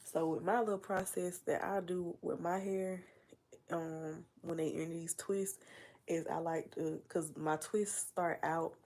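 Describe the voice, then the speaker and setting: Young woman, livestream host, congested cold voice, low-pitched with some vocal fry, chatty and meandering. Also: steady tone, a little slow, darkish tone. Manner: chatty, meandering, full of fillers and asides. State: stuffy nose from a cold. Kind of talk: casual rambling livestream chat.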